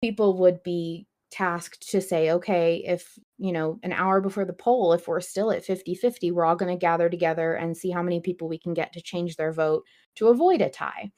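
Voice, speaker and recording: A woman's voice: fairly low pitch, moderate pace, darkish tone. A woman hosting a podcast, talking casually in a chatty, explanatory way with some emphasis. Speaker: podcast host.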